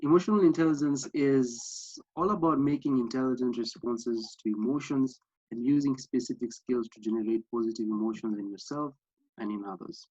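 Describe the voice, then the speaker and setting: A man's voice: medium pitch, fairly even intonation, brisk, darkish tone, husky, dry recording. A young man from Kenya with a Kenyan accent, earnestly presenting an online workshop over a video call, with many fillers and restarts. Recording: slightly dull lo-fi sound.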